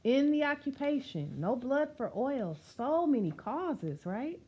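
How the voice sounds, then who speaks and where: Woman doing storytime read aloud, super lively pitch swings, emphatic, chats to the listeners. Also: measured pace, breathy edge, lo-fi sound. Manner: expressive, emphatic, asking the listeners questions. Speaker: storyteller reading a picture book to children. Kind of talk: animated read-aloud of rhyming picture book with asides.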